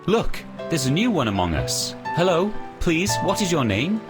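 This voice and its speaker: An actor voicing a kindly, welcoming adult swan in a children's storybook, gentle and reassuring. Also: medium pitch, very animated, normal speed, lightly acted. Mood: curious. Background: music.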